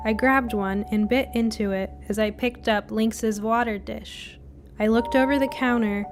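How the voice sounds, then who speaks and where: A woman reading a scripted first-person spooky short story as its narrator. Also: medium pitch.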